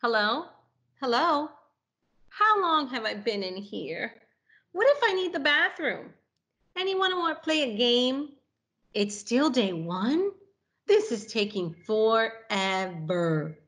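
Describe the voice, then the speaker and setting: Storybook caterpillar, played by a woman: impatient, whiny, pestering, high-pitched with big swoops. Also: sing-song, fairly bright tone, heavily acted. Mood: whiny, restless. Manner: pestering, exclaiming, drawn-out cries. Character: impatient, excitable, whiny caterpillar longing to be butterfly.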